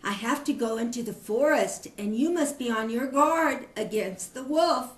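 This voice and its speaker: Woman as a high-voiced motherly goat. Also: lively intonation, moderate pace, reverberant, distant mic, lightly acted. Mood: earnest warning. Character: caring, watchful mother goat. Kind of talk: children's storybook reading.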